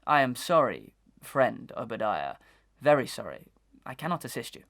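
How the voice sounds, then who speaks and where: A man performs an honourable, disgraced gentleman in a Victorian audio melodrama. His medium-pitched voice is formal and earnest. He declaims with impassioned, old-fashioned stage delivery. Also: big pitch swings, normal speed, creaky. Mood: impatient, regretful.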